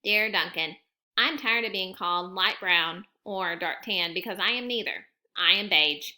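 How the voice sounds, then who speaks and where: A woman's voice: mid-range voice, unhurried, sharp tone, pitched below the performer's own voice. A woman voicing a proud, resentful crayon in a children's storybook, indignant and emphatic about being overlooked. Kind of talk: children's storybook read-aloud.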